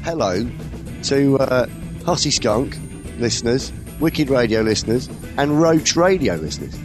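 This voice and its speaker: A man hosting an internet radio show, husky and throaty this week, joking in laid-back banter. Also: medium pitch, sing-song, measured pace, over music. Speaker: radio host. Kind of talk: casual radio chat.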